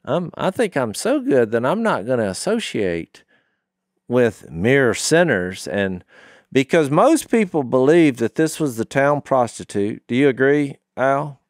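A middle-aged man talks through scripture as a podcast co-host. He tells it like a story, leaning on key phrases, at a medium pitch. The sound is close and dry. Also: very animated, a little slow, no echo. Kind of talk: conversational Bible discussion. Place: small wood-panelled podcast studio. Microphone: studio microphone on boom, close.

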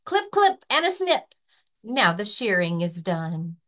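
A woman reads a children's picture book aloud in a story-time style. Her pitch swings in a lively way across the rhymes, and she takes the verse at an unhurried, rhythmic pace. Her voice sits in a middle register for a woman. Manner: expressive, rhythmic reading of rhyming verse. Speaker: librarian and story-time reader.

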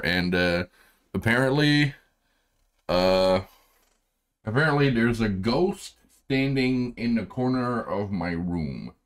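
A man with a lowish voice rambles through casual reaction commentary. He talks into a headset mic at home in a laid-back way. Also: clear, resonant tone. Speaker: streamer reacting to a video. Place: home room with green screen.

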